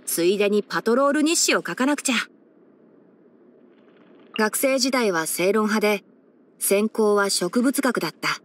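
A woman voicing a boyish young male fox-eared forest ranger and scholar in an anime game, instructive and frank with a gently teasing manner. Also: mid-range voice, natural voice. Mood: calm, frank. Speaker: character voice actor. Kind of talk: anime game character voice lines.

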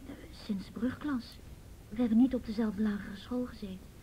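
A woman playing a reluctant, halting teenage schoolgirl in a radio drama. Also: warm tone, natural voice. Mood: guarded. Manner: halting, hesitant, guarded. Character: hesitant, reluctant teenage schoolgirl, grieving her murdered friend. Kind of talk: Dutch radio drama acting. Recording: dull old radio recording, faint rumbly noise.

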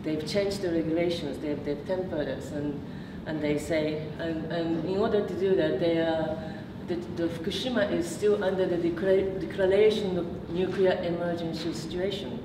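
A woman's voice: on the low side, fairly even intonation, normal speed, few pauses. A woman, giving a public talk as a researcher, hesitant with many fillers and restarts. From Japan. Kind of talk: public lecture with slides. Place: meeting room. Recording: echoey room from far off, noisy.

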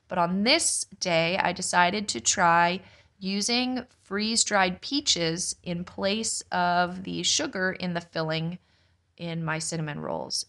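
A young woman, a mother, casually narrating a home cooking vlog in a relaxed, explanatory way. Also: lowish voice. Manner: relaxed, explanatory, chatty with light laughs. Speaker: homestead vlogger, mother.

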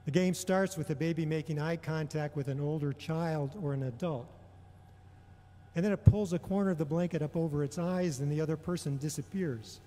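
A middle-aged man delivering a prepared homily in a measured, deliberate way, pausing often between phrases. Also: on the high side, warm tone. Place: large indoor church or hall. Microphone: gooseneck microphone on a lectern. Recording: long reverberation of a large hall.

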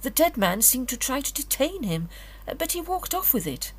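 Actress as high, husky young lady clairvoyant. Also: big pitch swings, moderate pace, heavily acted. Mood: haunted, shaken. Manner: formal, dramatic, hesitant when recalling. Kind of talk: audio drama acting.

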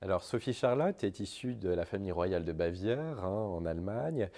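A young man presenting history to camera as a guide, explaining in a halting way with frequent hesitations. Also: low voice, animated. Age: young adult. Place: large indoor historic library.

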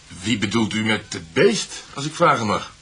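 A man's voice: fairly low pitch, very animated, normal speed, a bit bright, husky, heavily acted. A man playing a resolute, inquisitive husband in a radio drama, questioning firmly. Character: resolute, inquisitive husband investigating a sinister mystery.

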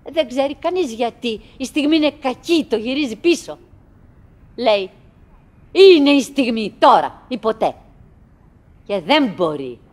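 An actress playing a wistful, chatty middle-aged woman in a radio drama monologue, halting and repeating herself theatrically. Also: sits high. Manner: halting, repetitive, dramatic shifts between musing and exclaiming.

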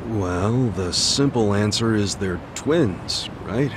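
A man's voice: lowish voice, takes it slowly, noisy background. An actor playing an earnest, protective man with a hot temper in a video game. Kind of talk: English video game cutscene dub. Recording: music behind the voice.

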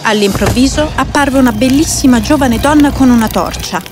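Higher-pitched woman storyteller. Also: fairly quick. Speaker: storyteller, narrator. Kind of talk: scripted children's fairy tale narration. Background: faint music.

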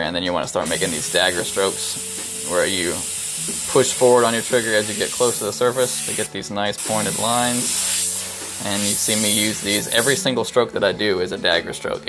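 A young man with a very low voice talks through airbrush technique as he paints, relaxed and unscripted, with ums between thoughts. Music plays clearly behind him along with the hiss of the airbrush, picked up by a head-mounted camera mic in a small studio. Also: low-quality recording. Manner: relaxed explaining, frequent ums, talks while working. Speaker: airbrush artist. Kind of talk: casual live-stream tutorial while painting. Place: small brick-walled studio, indoors. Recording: music playing under the voice. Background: music playing, airbrush hiss.

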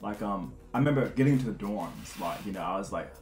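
A young man with a lowish voice who talks quickly, acting out what people said with lots of fillers. The sound is roomy, like a living room, and soft background music plays underneath. It feels like casual chat with a friend. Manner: animated storyteller, acts out quotes, many fillers. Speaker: host, former reality show contestant.

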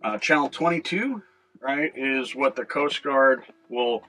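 Older guy doing a casual boat vlog, bright clear-cut voice, mid pitch, kinda halting, bit of guitar music behind. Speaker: boating video host. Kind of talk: casual explanatory vlog talk. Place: small boat cabin.

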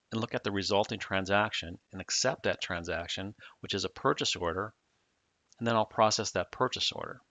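A man narrating a software tutorial, explaining each step plainly in the manner of a demonstrator. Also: on the low side, dry recording.

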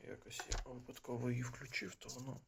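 A man's voice: mid-range voice, monotone, quick pace, gravelly, background noise. A man giving a casual, unscripted product demonstration, explaining and thinking aloud as he goes. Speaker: gadget reviewer. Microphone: phone filming the demonstration.

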